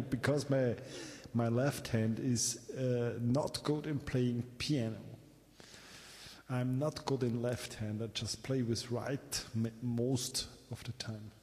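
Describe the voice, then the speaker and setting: Austrian man in long reverb, very slow. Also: medium pitch, darkish tone. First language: German. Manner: playful, halting, repeats words. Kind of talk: casual chat with a stranger playing music. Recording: long reverb with faint music. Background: faint music being played.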